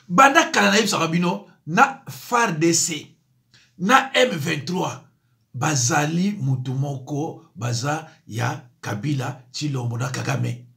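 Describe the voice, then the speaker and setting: High, bright-voiced pastor preaching politics. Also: male voice, gravelly. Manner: rhetorical questions, pleading and urgent. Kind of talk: impassioned spoken commentary.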